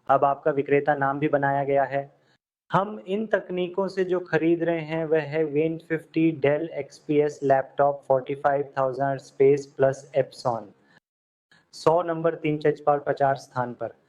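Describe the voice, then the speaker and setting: A man with a somewhat high voice walks the viewer through accounting software one step at a time. He often asks what comes next and then answers it himself, like a tutor talking over a screen recording. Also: poor audio. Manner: step-by-step instructions with questions to the viewer.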